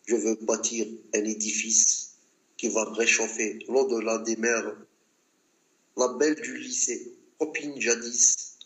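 Man slowly reciting love poetry. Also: fairly low pitch, fairly bright tone, roomy sound, low-quality recording. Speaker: poet.

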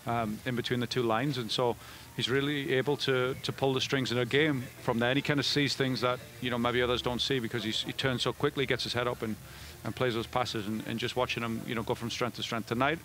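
A man's voice: mid-range voice, fairly even intonation, fairly bright tone, a little hiss or hum, low-quality recording. A middle-aged man, a soccer head coach, answering post-game questions on a headset mic and often restarting his sentences. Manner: fast, with frequent restarts and fillers. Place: indoor media room before a backdrop.

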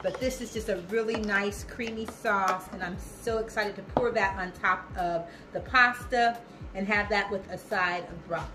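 A woman's voice: medium pitch, steady tone, normal speed, background noise. A woman in her thirties hosting a casual home cooking video, chatty and upbeat, talking straight to viewers in her kitchen. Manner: upbeat, chatty, talks to viewers as "you guys". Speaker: cooking vlogger, host. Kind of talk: casual cooking demo chat.

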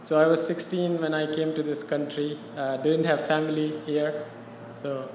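A man's voice: a little high, flat delivery, normal speed, a little mellow, a little hiss or hum. A man from India, a guest at a podium in a large hall, talking conversationally about his life and often saying "you know". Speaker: guest interviewee. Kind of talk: personal story in a live interview. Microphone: podium mic. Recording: roomy hall reverb, faint ambience.

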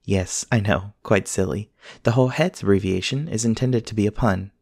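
A man playing a polite, earnest companion robot in an ASMR roleplay, speaking in formal, measured, precise sentences. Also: on the low side, gravelly, a little mellow, dry recording, clean studio sound. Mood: courteous, helpful. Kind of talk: scripted ASMR roleplay acting.

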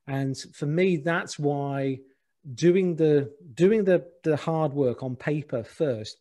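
A middle-aged man, a presentation agency head, talking animatedly on a video call from a home office, often restarting his sentences. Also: a little high. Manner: animated, gesturing, many restarts and repeated words. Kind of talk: conversational podcast interview. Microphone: video call webcam.

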